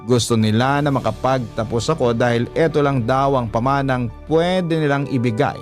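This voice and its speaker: A man's voice: medium pitch, normal speed, over music. A man working as a radio storyteller, narrating a listener's letter in the first person in a dramatic style.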